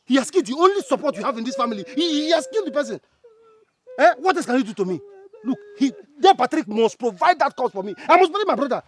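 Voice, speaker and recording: Fiery Nigerian brother, film drama: defiant, fast, swooping delivery, stuttered repeats. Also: male voice, very high voice, sing-song. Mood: agitated, furious. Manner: stammering, repeats words insistently. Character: hot-headed defiant younger brother, raging and grieving.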